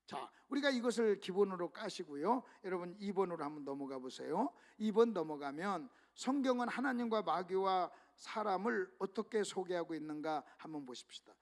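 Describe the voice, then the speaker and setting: A man preaching a sermon to a church congregation, leading them in call-and-response reading and swinging between hushed asides and loud emphatic exclamations. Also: sits high, animated, moderate pace, hardly any pauses. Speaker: pastor. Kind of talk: sermon at a revival meeting. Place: church sanctuary.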